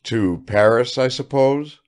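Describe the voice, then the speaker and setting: A man's voice: medium pitch, slow, darkish tone, voice pushed up, lightly acted. A man reading aloud and voicing a cool, blunt young man who answers curtly. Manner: cool, curt.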